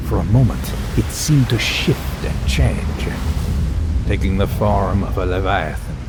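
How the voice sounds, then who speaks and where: A man playing a brooding, awestruck professor, narrating a sea voyage in solemn, dramatic first person. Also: lowish voice. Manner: solemn, dramatic, hushed intensity. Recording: noisy sea and boat ambience.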